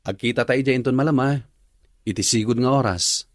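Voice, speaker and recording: A man's voice: mid-range voice, a little fast, a little hiss or hum, close to an everyday voice. A man with an Ilocano accent acting as an earnest young boyfriend in a radio drama. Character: Andrew, young man doubting his girlfriend, earnest.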